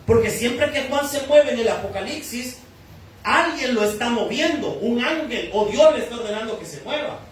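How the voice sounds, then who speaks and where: A man's voice: very high voice, animated, moderate pace, a little hiss or hum. A Salvadoran man preaching a sermon as pastor, teaching through questions he asks and answers himself. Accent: Salvadoran Spanish. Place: church hall. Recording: echoey church hall from far off.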